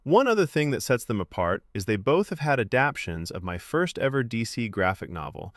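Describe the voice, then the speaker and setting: A man's voice: mid-range voice, very animated, brisk, dry recording, studio quality. A man hosting a fan ranking video, giving enthusiastic, opinionated scripted narration. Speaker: host of a comic film ranking video.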